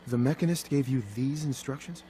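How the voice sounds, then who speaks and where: A man's voice: mid-range voice, brisk, mellow, lightly acted. Male game hero, acted by a man: direct, skeptical, conversational wasteland adventurer, everyday voice over faint noise. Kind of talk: video game dialogue acting.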